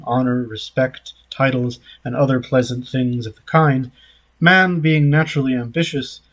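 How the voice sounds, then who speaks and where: A man reading a written essay aloud in a measured, scripted narration style. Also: medium pitch. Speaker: essay reader.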